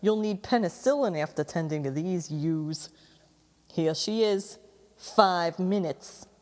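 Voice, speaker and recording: A woman in a radio play playing a wry, deadpan, unimpressed prison matron. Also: on the low side, slow. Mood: sardonic warning. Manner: deadpan, sardonic. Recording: long reverberant room.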